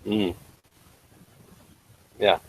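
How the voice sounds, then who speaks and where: A man hosting a TV review show in casual, unscripted chat, haltingly rephrasing as he goes. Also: slight background noise, rough recording.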